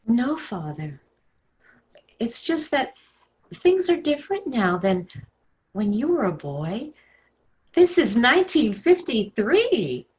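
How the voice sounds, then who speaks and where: A woman's voice: on the high side, big pitch swings, slow pace, pauses often, a little mellow, breathy edge, heavily acted. A woman playing a whiny, skeptical American teenage girl in a 1950s-style radio sitcom, petulant and dramatic. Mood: doubtful. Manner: petulant, emphatic.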